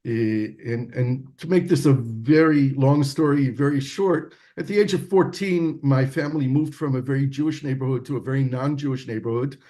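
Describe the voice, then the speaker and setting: An American man in his sixties speaks as a guest over a video call, his tone warm and mellow at a middle pitch. He tells his life story in a reflective, measured way. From United States. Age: 60s. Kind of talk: storytelling interview answer.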